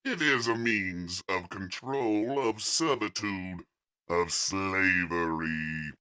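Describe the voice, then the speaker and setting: Alien machine captor , acted by a man: cold and commanding, slow, bright forceful edge, lofty villain for an audio comic. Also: deep voice, clean tone, heavily acted. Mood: cold, disdainful. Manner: measured, pompous, commanding.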